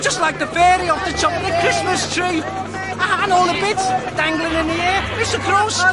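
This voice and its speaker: An actor playing a chatty, excitable small-time chancer in a radio drama, with a Liverpool accent and a rambling, matey manner. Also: male voice, very high voice, moderate pace. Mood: excited, alarmed. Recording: busy outdoor crowd and traffic behind. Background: street crowd, vehicles.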